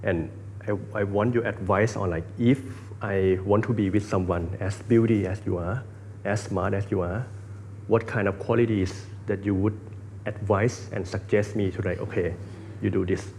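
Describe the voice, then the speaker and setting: A man's voice: fairly low pitch, steady tone, normal speed, darkish tone, a little hiss or hum. A Thai man with a Thai accent, hosting a casual interview in English as a second language, hesitant with many fillers. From Thailand. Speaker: interview host.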